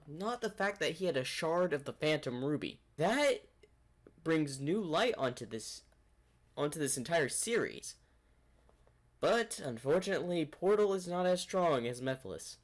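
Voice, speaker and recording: A man hosting a reaction video with casual commentary, rambling as he thinks aloud. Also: medium pitch.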